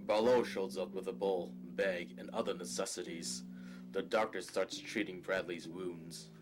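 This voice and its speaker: Male narrator for audio drama, deep register, scripted scene descriptions over faint music. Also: fairly even intonation, a little slow.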